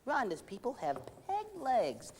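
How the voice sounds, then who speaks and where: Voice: mid-range voice, wildly swooping pitch, a little slow, heavy background noise. An actor voicing a bratty, stubborn, whiny young boy character in a children's educational puppet musical. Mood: taunting. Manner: taunting, defiant, whiny. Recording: old VHS tape, music behind.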